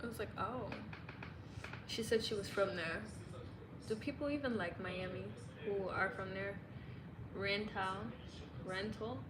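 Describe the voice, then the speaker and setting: Young midwest gal chatting on her livestream, slow and playful, roomy echo with noise behind her. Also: medium pitch. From Illinois, US Midwest. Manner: playful, laughs often, guesses aloud at viewers' comments. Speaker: livestream host. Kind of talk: casual livestream chat reading comments. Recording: echoey room with clear background noise.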